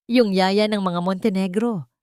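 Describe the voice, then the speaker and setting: A woman's voice: mid-range voice, steady tone, unhurried, a little mellow, dry recording, studio quality, natural voice. A woman voicing a tough female prison inmate who mocks and goads in an audio drama. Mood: mocking. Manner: mocking, goading.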